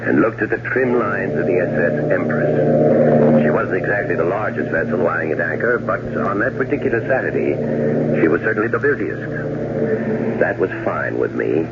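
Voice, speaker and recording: An actor playing a cold-blooded, middle-aged scheming brother in a 1970s radio drama, suave, sardonic and deliberate. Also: male voice, sits low, expressive. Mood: measured narrating. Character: scheming, cold-blooded older brother plotting his wife's murder. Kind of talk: 1970s radio drama acting and first-person narration. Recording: dull, noisy old radio broadcast recording.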